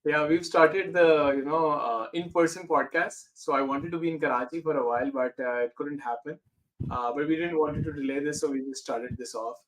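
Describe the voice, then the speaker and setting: A man's voice: a little high, fairly even intonation, normal speed, a bit bright, poor audio. A man in his thirties hosting a podcast interview, talking in a hesitant way, with many "uh"s and restarts. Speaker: podcast host.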